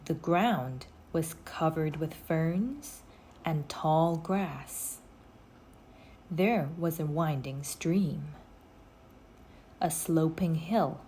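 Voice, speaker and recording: A woman reading a children's story aloud in an expressive, scripted storytelling style. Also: deep voice, very slow, long pauses, a little hiss or hum.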